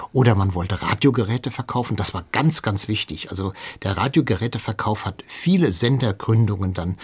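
Low, slightly husky male radio guest. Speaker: studio guest, radio editor and historian. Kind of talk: explanatory interview talk. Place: radio studio.

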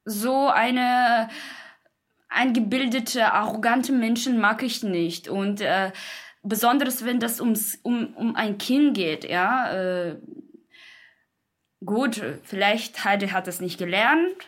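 A woman with a fairly high voice gives her opinion slowly. She is outspoken, and her non-native phrasing comes in halting pieces. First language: not German. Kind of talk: personal interview opinion.

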